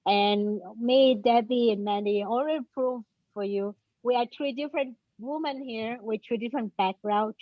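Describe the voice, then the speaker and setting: A woman whose first language is Vietnamese, moderating a panel on a video call, halting and searching for words. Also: a little high, no room sound. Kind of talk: casual panel chat. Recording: dull, dry video-call audio.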